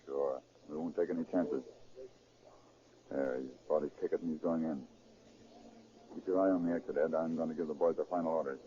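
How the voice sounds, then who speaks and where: An actor playing a commanding, decisive federal agent chief with clipped speech in a 1930s radio crime drama. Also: male voice, very deep voice, steady tone, a little fast, long pauses, crowd noise. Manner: clipped, authoritative. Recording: muffled, noisy 1930s radio recording.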